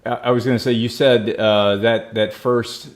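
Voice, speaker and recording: A man hosting a podcast, speaking informally with quick asides. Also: medium pitch, level tone. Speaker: podcast host.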